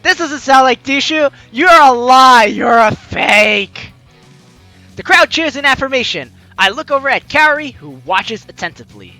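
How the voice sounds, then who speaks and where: A man's voice: extremely high pitch, lively intonation, a little slow. A man doing casual let's play commentary, reading game text aloud and adding teasing, sarcastic asides. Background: soft game music.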